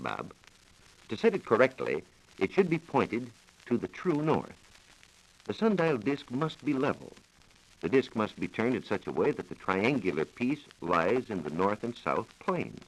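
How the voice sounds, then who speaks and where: A man's voice: lowish voice, big pitch swings, moderate pace, low-quality recording. An American man giving a formal, precise scripted science explanation as a reporter on a 1940s radio programme. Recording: vintage 1940s radio broadcast recording.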